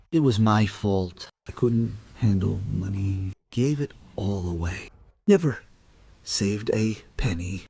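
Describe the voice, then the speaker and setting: An actor playing a confused, gentle old widower in a radio drama, breathy and halting. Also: male voice, fairly low pitch, full character voice. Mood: regretful. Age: older. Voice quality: husky, breathy.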